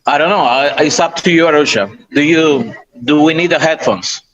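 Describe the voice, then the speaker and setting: A man reporting casually from a busy restaurant over a video call, talking haltingly with many restarts. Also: fairly high pitch, a bit bright. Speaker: on-site reporter. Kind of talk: casual chat. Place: crowded restaurant. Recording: muffled call line in busy room. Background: crowd chatter.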